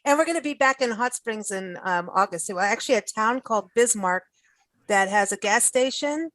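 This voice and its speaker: Bubbly woman radio host, high voice. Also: lively intonation, normal speed, fairly bright tone. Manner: bubbly, laughing, enthusiastic. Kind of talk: casual chat interview.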